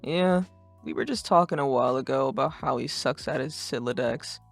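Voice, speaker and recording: A woman voicing a laid-back, sarcastic teenage boy in a fan dub, talking in deadpan slang. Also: a bass voice, moderate pace, vocal fry, faint music behind, exaggerated voice. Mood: deadpan, mildly sarcastic.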